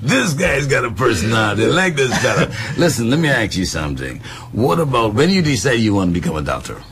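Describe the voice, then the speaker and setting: A man's voice: medium pitch, sing-song, fast talker, over music. A man hosting a radio health talk show, chatting playfully and explaining things like a teacher. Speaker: radio show host and doctor.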